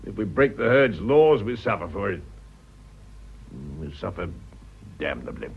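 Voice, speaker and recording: An actor playing a gruff, emphatic, blustering old English aristocrat in a radio drama, with a British accent. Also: male voice, fairly low pitch, sing-song, a little slow, heavily acted. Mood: grumbling, grave. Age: older. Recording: dull, muffled radio drama audio.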